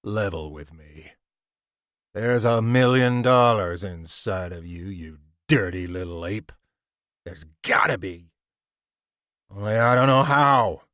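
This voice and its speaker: A man voicing a greedy, scheming rough laborer who talks in slangy, coaxing threats. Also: fairly low pitch, animated, slow pace, pauses often, fairly bright tone, dry recording, clean studio sound. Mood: menacing.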